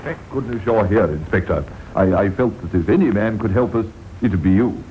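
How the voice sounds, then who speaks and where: An actor playing an anxious, respectful bank official in a 1940s radio drama, formal and slightly stammering. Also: male voice, fairly low pitch, a little fast, lightly acted. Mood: anxious, relieved. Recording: muffled, noisy old radio recording.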